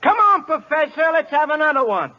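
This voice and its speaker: An actor playing a cocky, folksy young college ballplayer in 1940s radio style. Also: male voice, extremely high pitch, very animated, a little fast, pushed and bright, full character voice. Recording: dull old radio broadcast, audience sounds.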